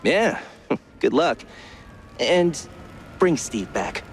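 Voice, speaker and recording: A mid-pitched, casual cartoon mouse stage designer, chummy and a little hesitant. The delivery is lively and excitable, noir game acting over faint background noise. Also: male voice, extremely slow, full character voice. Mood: confiding.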